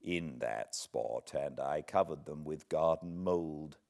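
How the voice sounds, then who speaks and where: A British man reading classic literature aloud as a narrator, in a measured, dramatic manner with deliberate pauses. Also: very deep voice, gravelly, darkish tone. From Britain. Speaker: audiobook narrator, host of fireside readings. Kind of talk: expressive literary storybook reading.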